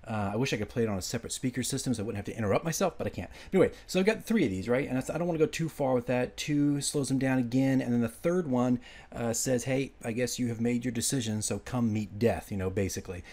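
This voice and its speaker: A man working as a game master, giving an informal, enthusiastic, hurried screen-share walkthrough. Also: mid-range voice, a little mellow. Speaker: game master making a prep video. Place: indoors at a computer, voice-over.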